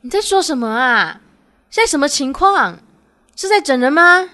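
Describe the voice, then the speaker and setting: A woman's voice: high-pitched, very animated, normal speed, sharp tone, exaggerated voice. A young woman acting in a radio drama as a sweet, devoted girlfriend who is easily wounded. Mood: confused, indignant. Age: young adult.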